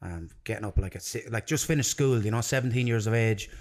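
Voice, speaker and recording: A man's voice: on the low side, fast talker. A man in his thirties with an Irish accent, chatting casually and earnestly as a podcast guest, rambling a little. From Ireland and London. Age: 30s. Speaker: podcast guest, jiu-jitsu coach. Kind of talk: casual chat.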